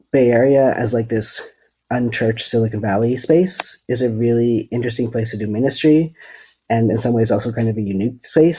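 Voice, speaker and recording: A man's voice: medium pitch, mellow, breathy edge, rough recording. A man from the Netherlands, a seminary student, answering interview questions thoughtfully and hesitantly with many fillers.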